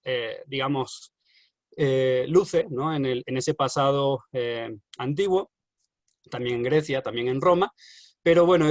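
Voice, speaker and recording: Man lecturing online, halting with fillers, dry close sound. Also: medium pitch, no room sound. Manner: hesitant, many fillers and restarts. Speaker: university lecturer.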